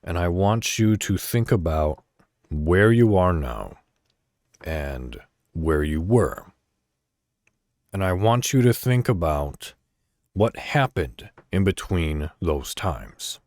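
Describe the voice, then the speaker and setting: A man hosting a podcast, speaking in a reflective solo monologue straight to the listener with deliberate, emphatic phrasing. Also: sits low, lively intonation, a little mellow, dry recording, clean studio sound. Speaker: podcast host.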